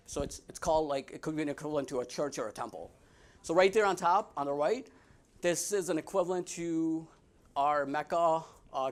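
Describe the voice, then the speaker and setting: A young man with a Midwestern American accent gives a friendly talk over slides, his voice sitting a little higher than most men's. He jokes with the audience and keeps stopping to restart his sentences, with plenty of ums and uhs. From United States. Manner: friendly and joking, many fillers and restarts. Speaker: guest speaker. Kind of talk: casual presentation with slides.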